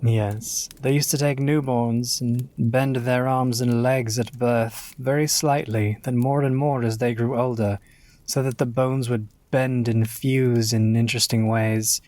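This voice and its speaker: Wry actor as ghoulish young grave robber. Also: male voice, mid-range voice, steady tone, measured pace, darkish tone, close to an everyday voice. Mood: relishing, matter-of-fact. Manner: dry, confident, matter-of-fact about horrors. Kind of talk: audio drama dialogue.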